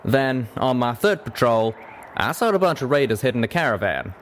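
A man's voice: medium pitch, sing-song, moderate pace, fairly bright tone, slight background noise, voice pushed up. Man voicing a drawling cowboy pegasus, folksy and bashful. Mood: reluctant, plain-spoken. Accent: American South or West drawl. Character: young male pegasus cowboy, plain-spoken, bashful ex-captain.

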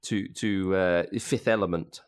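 A man hosting a casual football podcast, talking in a halting way and often repeating his words. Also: sits low, fairly even intonation, clear, resonant tone, no room sound. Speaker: podcast host.

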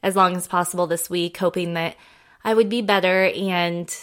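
A woman's voice: fairly low pitch, steady tone, moderate pace. A woman hosting a casual solo podcast, chatting in a rambling, affectionate way while congested from a head cold. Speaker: podcast host.

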